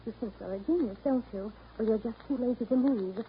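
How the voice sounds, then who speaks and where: A bossy, jealous young woman from a 1930s radio play , played by an actress. She is high-voiced and needling, snapping out demands. The recording is thin and phone-like with faint noise. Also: fairly quick, natural voice. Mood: snappish. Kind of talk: 1930s radio drama acting.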